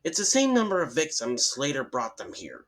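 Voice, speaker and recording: A man's voice: medium pitch, wildly swooping pitch, normal speed, a bit bright. A man voicing a grim male investigator in a horror story, speaking in a grave and ominous manner. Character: investigator with a camera, grimly piecing together horror.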